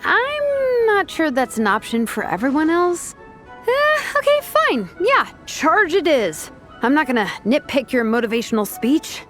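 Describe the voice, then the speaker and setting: A woman voicing a practical, no-nonsense young team captain in a video game, briskly giving instructions. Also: sits high, very animated, over music. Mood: wry. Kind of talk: scripted game dialogue.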